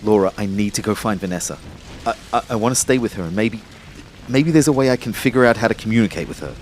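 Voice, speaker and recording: Audio drama lead: male actor as bewildered dead man telling his own story, lowish pitch, brooding and measured, over rain-like background noise. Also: animated, lightly acted. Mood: bewildered, urgent. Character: Kyle, bewildered young male ghost narrating his afterlife. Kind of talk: first-person scripted audio drama narration with dialogue.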